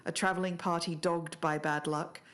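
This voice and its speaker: A low-pitched woman speaks as a podcast co-host. She narrates findings and reads quoted material to the listener in an even, low register. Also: normal speed.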